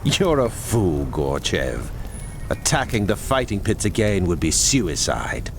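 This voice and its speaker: Deep, stern fantasy veteran. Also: male voice, sing-song, unhurried, gravelly, background noise, heavily acted. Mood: scornful, grim. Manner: blunt, scornful, weighty. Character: stern, blunt, deep-voiced male fantasy game ally. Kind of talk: video game cutscene acting. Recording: loud music behind.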